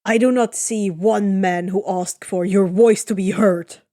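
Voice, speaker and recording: A hostile male sailor from a Greek tale, acted out by a woman reading a story. He growls and snaps with open contempt, stressing his words loudly and slowly. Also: medium pitch, steady tone, no room sound, clean studio sound, pitched below the performer's own voice, lightly acted. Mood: scornful anger. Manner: snapping, growling, scornful.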